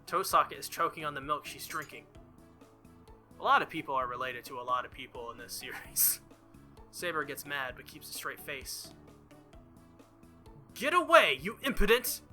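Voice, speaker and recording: A man's voice: mid-range voice, bright, forceful tone. A man reading a visual novel aloud as a let's-play host, theatrical and jokey, with casual asides. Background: faint game music.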